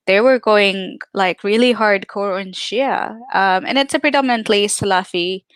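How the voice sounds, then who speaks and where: Smooth-voiced woman hosting a livestream. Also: mid-range voice, moderate pace, no room sound. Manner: chatty, frequent fillers. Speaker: podcast host.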